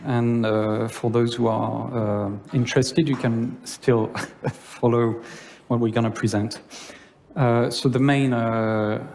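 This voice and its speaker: A man from France with a French accent giving a conference talk in English, pausing often with filler sounds. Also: medium pitch, flat delivery, creaky, darkish tone, a little hiss or hum. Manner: hesitant, many filler sounds. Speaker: conference speaker. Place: lecture hall. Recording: long reverb of a hall.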